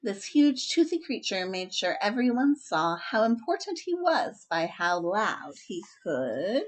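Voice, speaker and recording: Slow-paced woman reading to children. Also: mid-range voice, very animated. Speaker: children's story time host. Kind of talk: expressive picture-book read-aloud, friendly introduction.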